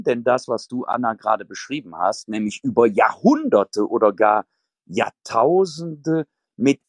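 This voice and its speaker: Slow, thoughtful German man. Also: mid-range voice, dry recording. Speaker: podcast guest. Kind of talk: reflective conversation.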